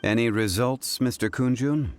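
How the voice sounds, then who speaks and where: A man playing a calm, commanding, scholarly gentleman in a video game, speaking formally and with measured authority. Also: mid-range voice, a little hiss or hum, natural voice. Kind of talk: dramatic video game voice acting.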